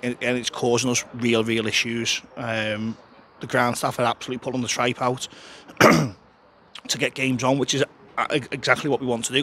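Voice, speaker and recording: Man, 30s, football manager, candid and chatty, mid-pitched, recorded pitchside with faint outdoor hum. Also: a bit bright. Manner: frank, chatty, frequent restarts and fillers. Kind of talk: post-match interview. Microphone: handheld interviewer mic held close.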